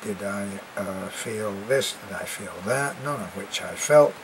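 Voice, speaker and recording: A man's voice: lowish voice, animated, measured pace, slight background noise, rough recording. An older British man with a cough and a congested voice, chatting casually to camera as an expat vlogger, rambling with many fillers and restarts. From Britain.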